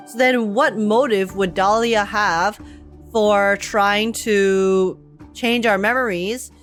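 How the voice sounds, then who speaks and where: A young woman streamer giving casual, animated reaction commentary on a game, slangy and thinking aloud. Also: mid-range voice. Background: game music.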